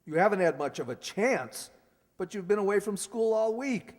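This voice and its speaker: A man's voice: high voice, lively intonation, normal speed. A man acting in a radio sitcom as a grumpy, officious middle-aged school principal, blustering and irritable. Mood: irritated. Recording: echoey hall, long reverb.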